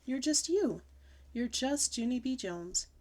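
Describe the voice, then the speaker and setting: A woman reading aloud plays a patient, weary mother who speaks gently and deliberately. Her fairly high voice stays soft and unhurried, like a storybook parent carefully explaining a lesson to her child. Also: expressive. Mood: gentle.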